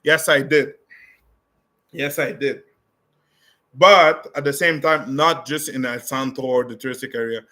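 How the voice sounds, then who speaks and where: A young man answers viewer questions in a loose, conversational way, often stopping to restart his sentences. His voice sits at an ordinary middle pitch. He sounds casual and unscripted, like a vlogger talking straight to his camera. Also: fairly even intonation, a little slow, pauses often, bright, forceful tone. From Canada. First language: French.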